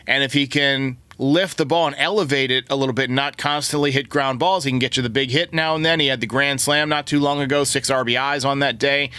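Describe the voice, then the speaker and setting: A man's voice: medium pitch, steady tone, fairly quick, hardly any pauses, bright, forceful tone, smooth, no room sound. A man hosting a sports show, giving conversational baseball commentary to his listeners. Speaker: sports podcast host.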